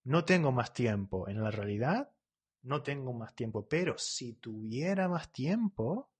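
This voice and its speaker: Husky-voiced man teaching live. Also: mid-range voice, animated. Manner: talks quickly, often checks in with "¿vale?" and "¿eh?"; reads out and corrects viewers' comments. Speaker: Spanish teacher. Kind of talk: live online lesson.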